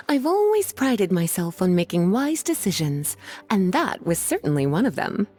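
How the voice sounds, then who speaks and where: Elegant game big-sister type, coy n teasing, takes her time, pitch swoops around, bit of music under it. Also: sing-song, measured pace, darkish tone. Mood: warm, reflective. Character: teasing, elegant young woman scholar, playful older-sister temper. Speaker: video game character voice. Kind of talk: scripted game dialogue acting.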